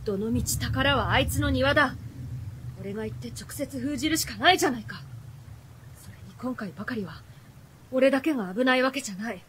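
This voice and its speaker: A woman's voice: sits high, brisk, a little hiss or hum, lightly acted. An actress playing a brash, defiant young hero who talks bluntly like a boy in a radio drama. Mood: heated. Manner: blunt masculine speech. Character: brash, defiant, boyish-talking youth, reckless and proud.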